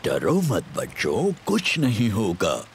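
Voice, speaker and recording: A man's voice: lowish voice, wildly swooping pitch, slow, a little hiss or hum, heavily acted. A man voicing a big, confident, bumbling father pig in a jovial, theatrical children's cartoon dub. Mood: confident, reassuring. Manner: jovial, self-assured, theatrical. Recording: music behind the voice.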